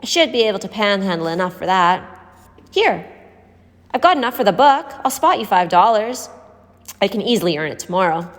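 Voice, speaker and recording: A woman's voice: mid-range voice, big pitch swings, a little fast, pitched above the performer's own voice, lightly acted. A woman voicing an eager, good-natured, helpful street boy in a story, cheerful and earnest. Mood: earnest, generous. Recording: echoey hall-like reverb.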